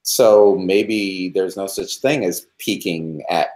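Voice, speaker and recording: A man's voice: deep voice, slow pace, darkish tone, low-quality recording. A man around fifty, a podcast guest, candidly telling his own story with self-deprecating humor and emphatic repetitions.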